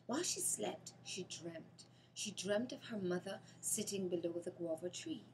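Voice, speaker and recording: A woman's voice: mid-range voice, lively intonation, a little slow, a little mellow, a little hiss or hum, poor audio. A Jamaican woman reads a children's story aloud, narrating expressively.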